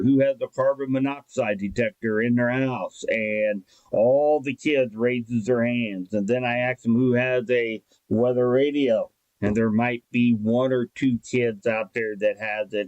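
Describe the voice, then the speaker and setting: A middle-aged man with an American accent talks through recommendations like a local radio presenter. His medium-pitched voice is conversational and folksy, recorded dry with very little room sound. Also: a little mellow, no echo, low-quality recording. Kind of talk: informal explainer and product recommendation.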